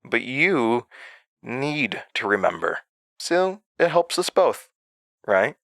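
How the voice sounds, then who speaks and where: Horror drama role: smug inhuman entity in a young man's voice, unhurried, very dry close sound, mocking and deliberate. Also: medium pitch, big pitch swings, creaky, fairly bright tone, no room sound, full character voice. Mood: sly, conceding. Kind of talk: audio drama acting.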